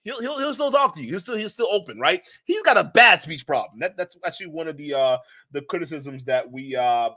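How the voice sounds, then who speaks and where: A man's voice: a little high, expressive, brisk, pushed and bright. A man from Nigeria with a slight Nigerian accent, hosting a live-stream commentary, talking to his chat in a mocking way, laughing often and restarting his sentences.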